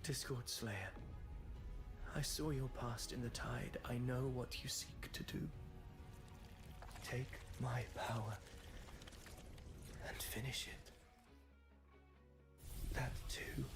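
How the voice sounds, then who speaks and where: A man's voice: medium pitch, steady tone, slow, long pauses, over music, lightly acted. A man playing a noble, self-sacrificing warrior in a game cutscene, solemn, earnest and heroic. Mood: solemn plea. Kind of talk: dramatic game cutscene acting.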